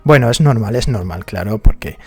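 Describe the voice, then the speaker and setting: A man's voice: fairly low pitch, lively intonation, a little fast, husky, faint music behind. A man from Morocco with a Moroccan accent, chatting casually in a vlog, peppering his talk with fillers and tag questions. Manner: conversational, many fillers like "digamos" and "¿no?". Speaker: vlogger.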